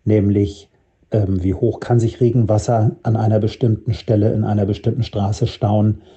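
A male reporter with a low, very dark and mellow voice explains a topic in a live studio exchange. He pauses often with hesitation fillers as he works through the details. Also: little pitch movement, muffled recording.

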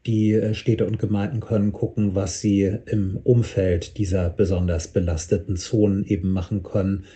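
Deep, very dark-voiced male reporter. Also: level tone, moderate pace, few pauses. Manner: explanatory, with frequent hesitation fillers. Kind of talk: explaining a topic in a live exchange.

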